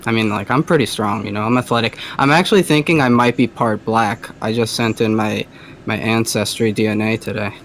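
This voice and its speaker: A male caller with a soft, feminine-sounding voice, talking hesitantly and rambling with lots of fillers. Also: fairly low pitch, brisk, a little hiss or hum. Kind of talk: casual phone call.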